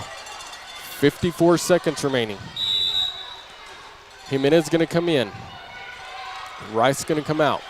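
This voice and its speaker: A man with a fairly high voice calls a basketball game live. He speaks in clipped, present-tense bursts as the play moves, like a radio play-by-play announcer. The recording is a noisy broadcast from the gym. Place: indoor gymnasium during a basketball game.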